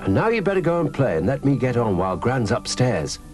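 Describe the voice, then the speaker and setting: A man reading a children's story aloud, voicing a mother in a hushed, slightly hesitant way. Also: medium pitch, sing-song, fairly quick, soft background music, pitched above the performer's own voice, natural voice. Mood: brisk. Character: busy mother planning a secret.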